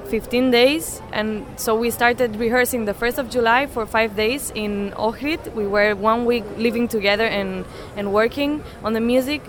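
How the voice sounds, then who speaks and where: Young woman from Spain, street noise. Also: medium pitch. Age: 20s. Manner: halting, restarts often, non-native English. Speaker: interviewee, saxophonist. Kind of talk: casual interview answers. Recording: noisy street background.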